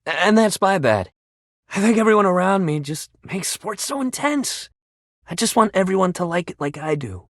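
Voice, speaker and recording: An actor playing an eager, upbeat sports-loving gym buddy in a video game, talking like a bro-ish coach who is anxious to please. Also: male voice, high-pitched, expressive, moderate pace, dry recording, studio quality. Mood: apologetic, deflated. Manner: bro-ish, encouraging coach talk with casual slang. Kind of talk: animated video game voice acting.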